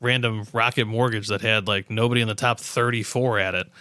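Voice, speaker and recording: A man's voice: on the low side, fairly even intonation, a little fast, bright, forceful tone, smooth, no echo, clean studio sound. A man chatting casually about sports on a podcast.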